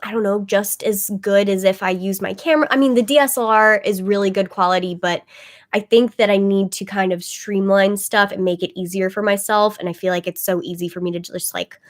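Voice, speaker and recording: A woman's voice: mid-range voice, steady tone, a little fast. A woman chatting casually on a webcam livestream, rambling with lots of "like" fillers and a slightly phlegmy voice. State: a bit phlegmy, congested. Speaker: tarot reader livestreaming to viewers.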